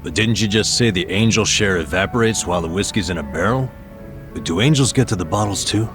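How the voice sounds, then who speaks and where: A male actor plays a gruff, sardonic vagabond in a video game, troubled by guilt. The voice sits low and grumbles dryly in casual talk, with music clearly behind it. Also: fairly quick, lightly acted. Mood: stressed, guilty. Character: worried, guilt-ridden, gruff down-and-out man, sardonic friend. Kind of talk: video game English dub dialogue.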